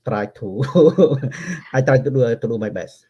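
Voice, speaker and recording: A middle-aged man speaking English with a French accent, a guest in a casual online chat, halting and restarting his phrases. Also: mid-range voice, animated, dark tone, slightly rough, muffled recording. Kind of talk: casual video-call chat.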